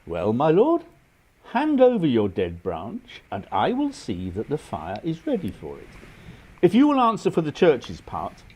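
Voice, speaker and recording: A man's voice: fairly high pitch, huge pitch swings, unhurried, darkish tone, breathy edge, heavily acted. A man playing a suave, cynical English earl in a radio drama, with an urbane, dry, politely sardonic manner. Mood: smooth, sardonic. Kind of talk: stage-style radio drama acting.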